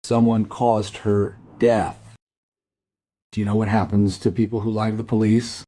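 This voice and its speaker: An actor playing a stern, probing police detective in a crime radio play, questioning in a measured, insistent way. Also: male voice, fairly low pitch, level tone, mellow, close to an everyday voice. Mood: grave, insistent.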